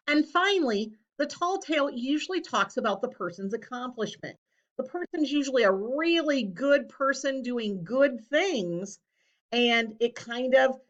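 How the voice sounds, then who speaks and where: Female schoolteacher, higher register, explanatory and deliberate for children, somewhat muffled lo-fi sound. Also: a bit bright. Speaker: elementary school teacher.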